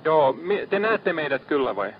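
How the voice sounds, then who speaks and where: A man working as a ship's radio operator, calm and businesslike, repeating figures back in radio procedure. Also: fairly high pitch. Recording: muffled archive marine radio.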